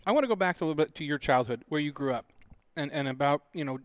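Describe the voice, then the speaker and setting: A man's voice: a little high, animated, quick pace. A man in his forties hosting a podcast in a casual, joking chat style. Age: 40s. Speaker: podcast host.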